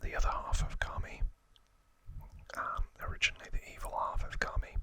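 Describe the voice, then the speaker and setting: A man whispering an ASMR recap in a breathy, unvoiced voice, explaining things in a hesitant, self-correcting way. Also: a little fast, pushed and bright, dry recording. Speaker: host of an ASMR trivia channel.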